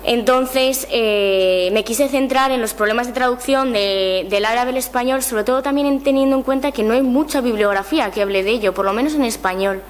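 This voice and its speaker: A woman's voice: on the high side, a little fast, few pauses, a little hiss or hum. A young woman explaining her research off the cuff at a colloquium in an informal way. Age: young adult. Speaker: graduate researcher in translation. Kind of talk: unscripted answers at a colloquium. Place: room at a colloquium.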